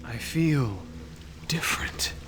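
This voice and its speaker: A man's voice: medium pitch, animated, slow pace, whispered. A man voicing a brooding, terse young hero in a fantasy game. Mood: dazed. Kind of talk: dramatic fantasy game acting.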